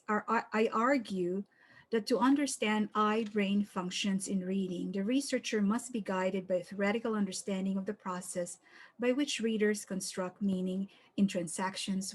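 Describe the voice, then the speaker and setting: Woman presenting academic slides, measured. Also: medium pitch. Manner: measured, reads quotes aloud, cues slides. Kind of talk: conference talk with read quotations. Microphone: video call.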